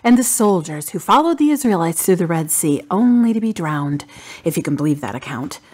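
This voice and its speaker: A woman acting in a radio drama as a grieving adult daughter full of doubt, pensive and questioning, with a breathy voice. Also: on the low side, big pitch swings, a little fast, a little mellow. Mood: doubting, challenging. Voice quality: husky, breathy.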